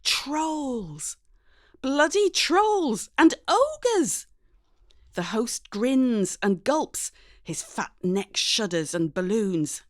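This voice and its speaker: A woman's voice: a little high, sing-song, extremely slow, dry recording, studio quality. A woman reading a dramatic first-person story expressively and conversationally, in character as a teenage girl. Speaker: audiobook narrator.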